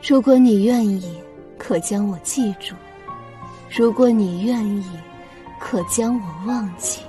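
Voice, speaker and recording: A woman playing a solemn, mournful figure reciting verse in an animated drama. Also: medium pitch, takes it slowly, over music, close to an everyday voice. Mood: solemn, wistful.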